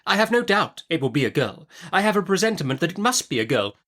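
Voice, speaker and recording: A man's voice: high voice, very animated, quick pace, sharp tone, voice pushed up, heavily acted. A man voicing an imperious, brusque older woman, a domineering great-aunt in an audiobook of a classic novel, with an emphatic, commanding manner. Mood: stern, insistent.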